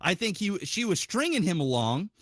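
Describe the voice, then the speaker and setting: A man talking as a podcast host, giving a casual, opinionated monologue in an animated, rambling, profanity-heavy and argumentative way. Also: high voice, normal speed, no echo, studio quality.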